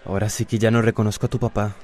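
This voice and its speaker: A man's voice: on the low side, level tone, very fast, a little mellow, lightly acted. A man playing an earnest, considerate teenage boyfriend in a radio drama, with a Costa Rican accent.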